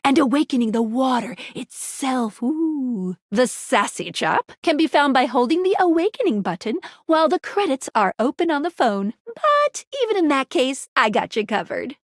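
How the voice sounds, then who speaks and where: A woman's voice: high voice, dry recording, studio quality. A woman voicing an eager, friendly but self-doubting video game tutorial guide, a talking gadget with a chirpy, quippy and slightly awkward manner. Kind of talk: animated video game voice acting.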